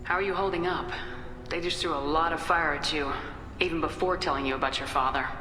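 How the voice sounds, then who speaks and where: A woman's voice: low-pitched, fairly quick, sharp tone, slight background noise. A woman playing a confident, caring military commander in a video game, direct in manner. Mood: steady concern. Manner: direct, probing questions. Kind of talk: video game dialogue acting. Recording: echoey hall, music behind.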